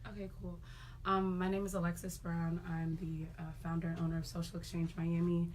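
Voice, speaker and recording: A woman's voice: fairly low pitch, monotone, a little fast, a little mellow, a little hiss or hum. Distant, level-voiced woman guest. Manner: easygoing, hesitant with ums. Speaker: guest, event founder. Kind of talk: casual conversation.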